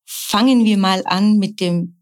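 A middle-aged woman, a nurse, explaining her practice in calm, measured interview answers. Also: medium pitch. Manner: calm, measured, often slow and deliberate. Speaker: nurse and sound bowl practitioner.

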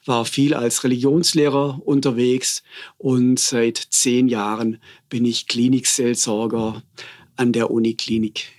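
A man, speaking in a medium pitch. He answers interview questions as a hospital chaplain, thoughtful and unhurried, often pausing and hesitating to find his words. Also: level tone, a little mellow. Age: 50s.

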